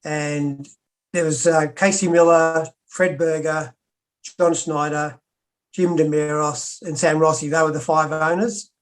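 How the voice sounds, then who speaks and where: An older Australian man, a former pro wrestler, recounting his past as an interview guest in a steady, matter-of-fact way. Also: a little high, measured pace, smooth. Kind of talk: interview storytelling.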